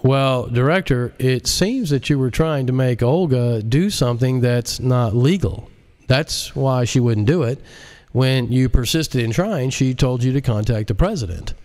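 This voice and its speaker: A man's voice: mid-range voice, a little slow, a little mellow, pitched above the performer's own voice, close to an everyday voice. A man voicing a cocky, sardonic computer genius with a mocking, folksy, scolding manner in an audiobook. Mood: disapproving.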